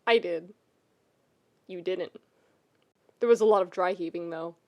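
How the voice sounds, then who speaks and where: A woman voicing a gentle, teasing young man in a podfic, speaking softly and with measured care. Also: on the low side, lightly acted. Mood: teasing, fond.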